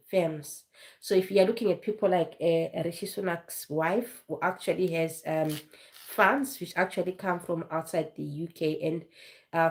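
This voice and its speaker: A woman with a cold talks through a live stream in a chatty, rambling way, circling back to repeat her points. Her voice sits fairly low, and she stumbles over words now and then. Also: a little mellow. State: has flu, voice low and foggy. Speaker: vlogger hosting a live stream. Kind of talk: casual live-stream talk.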